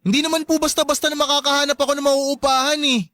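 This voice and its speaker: A wounded, resentful son in a radio drama. His voice is very high and sharp, recorded close and dry. He argues back in an aggrieved, pleading manner. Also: level tone, quick pace, dry recording. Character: hurt, resentful adult son standing up to his mother. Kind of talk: Tagalog radio drama acting.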